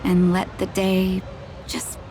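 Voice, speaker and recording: A woman playing a kindly, reflective doctor in a video game, speaking in a gentle, thoughtful way. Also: medium pitch, monotone, over music, lightly acted. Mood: wistful. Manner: gentle, thoughtful, measured, soft laughs and sighs.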